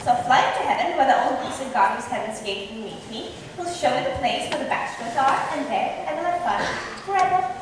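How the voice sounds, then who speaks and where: A woman's voice: high voice, expressive, fairly quick. A woman in an amateur stage play, playing a witty, outspoken young woman with a sardonic, emphatic delivery. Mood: witty, defiant. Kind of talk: amateur stage acting of modernized Shakespeare. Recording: distant, very echoey hall, faint noise.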